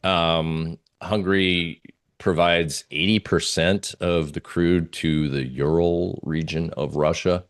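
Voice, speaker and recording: A man giving informal live market commentary as a guest analyst, halting and searching for his words. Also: low-pitched, steady tone.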